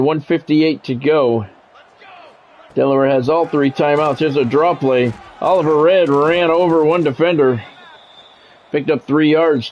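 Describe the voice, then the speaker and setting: Higher-pitched man calling football play-by-play. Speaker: sports video game streamer and commentator. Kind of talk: play-by-play football commentary with casual asides. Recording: dull, lo-fi mic with faint noise.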